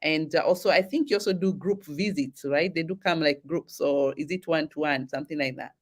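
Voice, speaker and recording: A woman's voice: sits low, a little fast. A woman hosting an online talk show, encouraging her audience and often restarting her sentences.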